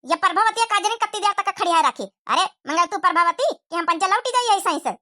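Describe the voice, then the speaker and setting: A woman's voice: very high-pitched, expressive, quick pace, pushed and bright, exaggerated voice. A woman voicing a haughty, hot-tempered elder sister visiting as a guest, complaining in an Awadhi accent in a comic cartoon. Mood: angry. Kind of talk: exaggerated cartoon comedy dialogue.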